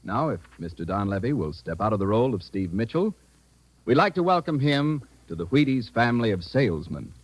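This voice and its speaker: Animated American old-time radio announcer, male. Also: mid-range voice, brisk. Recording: vintage radio recording with music.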